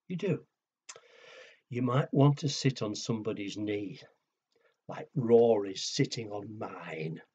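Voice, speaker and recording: Husky male children's storyteller, dull recording. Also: on the low side. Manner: hushed, dramatic pauses, simple questions to child listeners. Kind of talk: children's storytelling and nursery rhymes.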